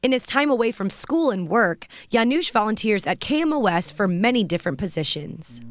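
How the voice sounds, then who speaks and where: A woman reporter reading a scripted student TV feature in a clear, even way. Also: medium pitch, fairly quick, no room sound. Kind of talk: scripted news narration.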